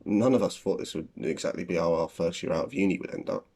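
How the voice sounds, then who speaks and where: A man's voice: sits low, fast talker, creaky, darkish tone. A British man in his twenties playing a disillusioned, anxious young graduate in a filmed diary monologue. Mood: resigned, bitter. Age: 20s. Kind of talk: spoken diary monologue in a short film.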